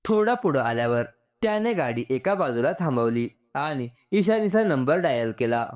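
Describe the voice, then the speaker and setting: A man's voice: medium pitch, sing-song, moderate pace. A man reading a scripted story aloud as a storyteller.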